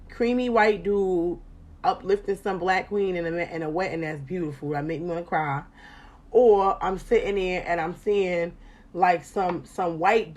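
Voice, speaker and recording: Animated profane woman podcast host. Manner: animated, profane, swings loud and high when excited. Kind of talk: casual chat.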